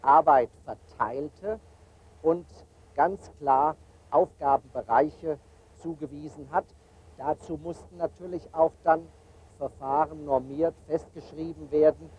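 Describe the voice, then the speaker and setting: A middle-aged man, an airline captain, explaining cockpit procedures in a measured, matter-of-fact way from the cockpit of a plane in flight. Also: a little high, darkish tone, slight background noise, low-quality recording.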